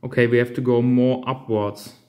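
A man in his thirties presenting a hands-on DIY tutorial with halting, step-by-step instructions in simple non-native English. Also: medium pitch, flat delivery, darkish tone. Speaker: amateur radio hobbyist presenter. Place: hard-walled room indoors at a table. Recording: roomy, echoing indoor sound.

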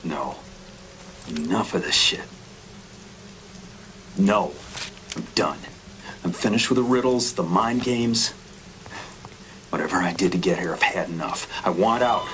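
An actor playing a blunt, hot-tempered American convict on the run in a video game, terse and exasperated. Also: male voice, low voice, lively intonation, a little slow, pauses often, fairly bright tone, slight background noise, rough recording. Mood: fed up. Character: embattled, fed-up male fugitive convict, blunt, hot-tempered.

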